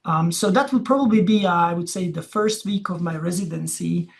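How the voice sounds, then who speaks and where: Middle-aged male academic, Croatian accent, heavy vocal fry, conversational and hesitant delivery for an informal talk. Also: high voice. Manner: halting, conversational, often checks with "you know" and "yeah". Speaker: veterinary dermatology researcher giving a talk. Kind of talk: informal slide presentation.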